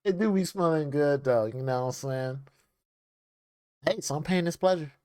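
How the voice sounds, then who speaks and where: A man's voice: fairly high pitch, normal speed, a little mellow, clean tone, no room sound, studio quality. A young man chatting casually into a desk microphone as he reacts to a video, rambling with lots of fillers.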